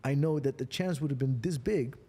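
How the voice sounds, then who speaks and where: A man's voice: medium pitch, fairly quick, warm tone, breathy edge. Fired-up male host with long reverb. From the Netherlands. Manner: emphatic and fired up, swears for emphasis. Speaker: podcast host. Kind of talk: hyped motivational podcast chat.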